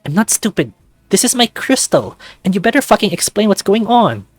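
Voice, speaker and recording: A man's voice: sits high, very animated, moderate pace, no room sound, soft background music, voice pushed up. Man voicing an indignant, hot-tempered furry hero. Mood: furious. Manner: emphatic, indignant, swearing. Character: hot-tempered young male furry beast, betrayed narrator-hero.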